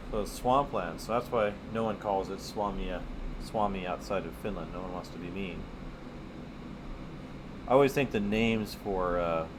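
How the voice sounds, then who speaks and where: A man's voice: low voice, normal speed, background noise. A man teaching art on a live stream, chatting casually and wandering off topic while he draws. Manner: chatty and digressive, with many fillers. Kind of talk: casual rambling chat while drawing.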